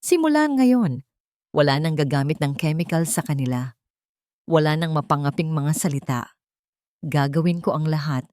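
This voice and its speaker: A woman's voice: sits low, animated, normal speed, pauses often, a little mellow, no echo, studio quality. A woman with a Filipino accent voicing a remorseful, protective young father in an audiobook drama, tender and earnest. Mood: earnest promise. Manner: tender, earnest, broken-voiced.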